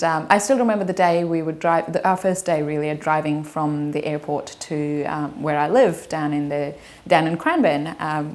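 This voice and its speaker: A young woman from Afghanistan, in her twenties, tells her own story in an easy, casual way. Her voice sits a little low, and she talks with plenty of ums and likes and the odd soft laugh. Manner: chatty, hesitant, many ums and likes, laughs lightly.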